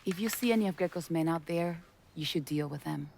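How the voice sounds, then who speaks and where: A woman's voice: fairly low pitch, a little fast, creaky, a little mellow, a little hiss or hum. A woman, voicing a tough smuggling boss in a video game, blunt and businesslike. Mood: earnest. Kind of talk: video game cutscene acting.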